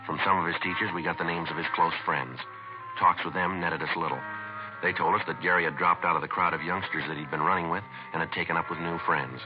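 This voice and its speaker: An actor playing a terse, matter-of-fact police detective in a 1950s radio crime drama, on a muffled vintage recording. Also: male voice, low-pitched, quick pace, over music, lightly acted. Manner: clipped, matter-of-fact, deadpan. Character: calm, terse male police detective narrating his case.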